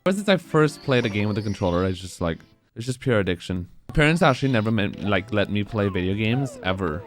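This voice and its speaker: A man's voice: lowish voice, a little mellow. A man in his twenties speedrunning on a live stream, rambling casually and answering chat between moves.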